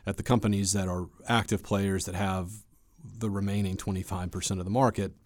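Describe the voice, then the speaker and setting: A man speaking as an expert guest in an interview, explaining in a measured, conversational way. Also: deep voice, steady tone, no room sound, clean studio sound.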